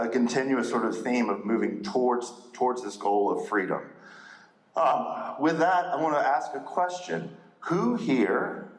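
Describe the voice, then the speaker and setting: Big-voiced male historian lecturing in a church hall, casual and chatty, mid-pitched, roomy echo. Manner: conversational, many fillers, jokes with the audience. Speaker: historian giving a talk. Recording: echoey hall.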